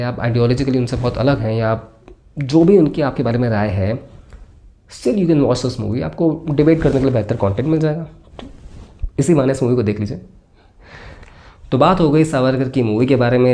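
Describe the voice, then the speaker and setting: Man, Indian accent, film reviewer, soft-edged mellow tone, medium pitch, conversational, explanatory, switches into English phrases.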